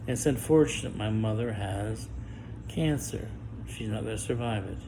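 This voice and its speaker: Gravelly, halting middle-aged man. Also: fairly low pitch, noisy background. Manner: guarded and halting, with stammered restarts and long pauses. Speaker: vlogger. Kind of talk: personal monologue to camera.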